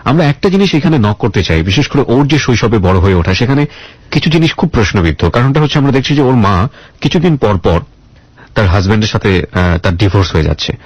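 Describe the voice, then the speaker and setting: A man with a Bangladeshi accent hosting a radio interview, deliberately repeating answers back as questions and explaining things to listeners. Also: fairly low pitch, very animated, slightly rough, no echo, lo-fi sound. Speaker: radio show host and interviewer. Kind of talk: probing interview with narration to listeners.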